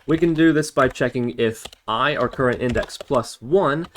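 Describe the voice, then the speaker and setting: A man's voice: mid-range voice, normal speed. A man giving an informal coding tutorial, thinking aloud as he works through each step. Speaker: programming tutorial instructor. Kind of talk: casual screencast coding tutorial, talking through steps while typing. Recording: background hiss, keyboard clicks and typing. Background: computer keyboard typing and mouse clicks.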